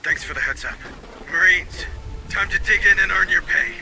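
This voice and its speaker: An actor playing a gruff marine squad leader who gives commanding orders in a video game. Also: male voice, high-pitched, normal speed, pushed and bright, a little hiss or hum, exaggerated voice, low-quality recording. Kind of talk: scripted video game combat dialogue.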